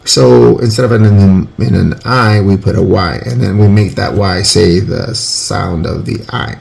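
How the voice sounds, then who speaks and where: A man in his forties talks through a phonics lesson in a loose, rambling way and keeps correcting himself as he goes. His voice sits a little low and is heavily gravelly, with a husky edge. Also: fairly even intonation, a little slow, a little mellow. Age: 40s. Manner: rambling, self-correcting, informal. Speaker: teacher.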